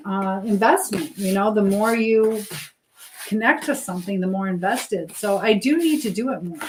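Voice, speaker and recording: A woman hosting a casual live chat, reading comments and answering them in a rambling, halting way as she thinks aloud. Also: medium pitch, background noise.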